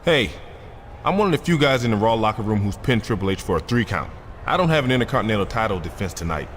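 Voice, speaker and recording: An actor playing a confident, ambitious wrestler in a video game cutscene, casual, assertive and cocky. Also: male voice, on the low side, very animated, fast. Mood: confident, persuasive. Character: confident, ambitious male wrestler proposing an alliance. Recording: clearly noisy background ambience.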